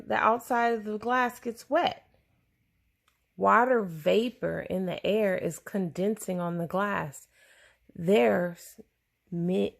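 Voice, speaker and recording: A woman reads a children's science book aloud at a slow, deliberate pace. Her voice has a noticeable creak and fry at its edges. The phrasing is simple, suited to a young listener. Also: medium pitch.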